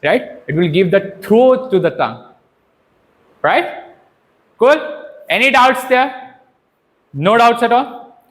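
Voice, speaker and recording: A man, a trainer giving an interactive public speaking workshop in an animated, instructive way, often asking questions and checking in. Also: very high voice, slow pace, pauses often.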